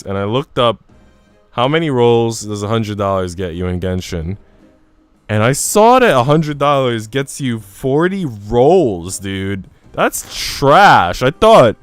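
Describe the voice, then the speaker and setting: A man's voice: mid-range voice, smooth, no room sound. A man livestreaming a game, talking casually with informal slang and reading story text aloud. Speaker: game streamer.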